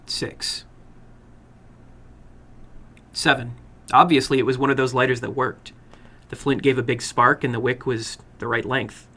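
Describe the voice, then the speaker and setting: A man narrating a horror story dramatically, in a deliberate, suspenseful manner. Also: mid-range voice, level tone, pushed and bright. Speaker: horror fiction reader and host.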